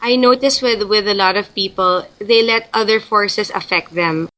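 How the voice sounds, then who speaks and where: A young woman from the Philippines speaks in a bright, clear voice. She answers interview questions in a loose, chatty way, often restarting her sentences as she thinks aloud. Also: mid-range voice, normal speed, rough recording. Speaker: guest.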